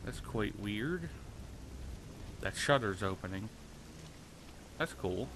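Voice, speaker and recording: A man's voice: on the low side, lively intonation, slow pace, noisy background. A man hosting a gaming channel, talking casually over gameplay with a stuffy nose, rambling and swearing at the game. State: stuffy nose from sinus trouble. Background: game gunfire and vehicles.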